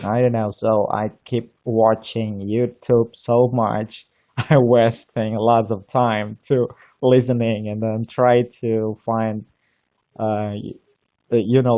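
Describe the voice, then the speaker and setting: A man from Indonesia, speaking English with an Indonesian accent in casual chat, halting and restarting often as he searches for words. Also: mid-range voice. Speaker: language learner on a live video call. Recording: tinny phone-like line.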